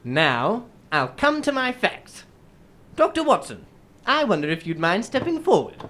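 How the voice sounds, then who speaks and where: A woman playing a courteous, self-assured gentleman detective, composed, deliberate and formal, in an old-time radio drama. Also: medium pitch, very animated. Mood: confident reasoning.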